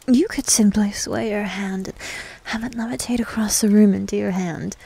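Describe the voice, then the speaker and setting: A woman playing a needy, teasing succubus demon girl in an ASMR roleplay, speaking in a soft, breathy whisper with a coy manner. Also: medium pitch, rough recording. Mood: reluctant, sighing.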